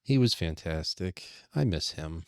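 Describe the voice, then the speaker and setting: A man's voice: deep voice, lively intonation, unhurried, no room sound, clean studio sound. A man chatting casually on a podcast panel in a wry, joking manner. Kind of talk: casual chat.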